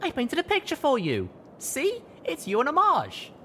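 A man's voice: very high-pitched, huge pitch swings, normal speed, bright, forceful tone, a little hiss or hum, voice pushed up, exaggerated voice. A man voicing a small cheerful pony filly who speaks in a sing-song way. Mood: excited.

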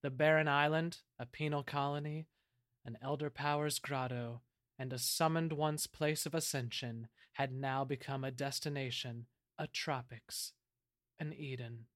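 A man's voice: mid-range voice, steady tone, measured pace, a bit bright, no echo, studio quality. A man reading scripted narration for an audio drama in a dramatic storytelling style.

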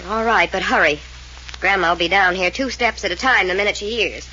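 An actress playing a defiant young woman of a wealthy family in a 1940s radio drama, sharp, defensive and sardonic. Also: medium pitch, brisk, close to an everyday voice. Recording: dull old radio recording with faint hiss.

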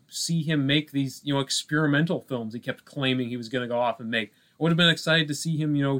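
Male podcast co-host, mid-pitched, casual and conversational, halting delivery full of verbal tics. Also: a bit bright. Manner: halting, heavy use of "you know" and "like". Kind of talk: casual film discussion.

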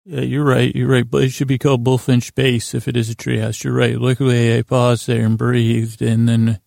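A man hosting a bedtime podcast in a creaky voice, rambling and meandering in a halting, lulling, gently silly way. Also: mid-range voice, dry recording, clean studio sound. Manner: meandering, halting, repeats and trails off. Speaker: podcast host.

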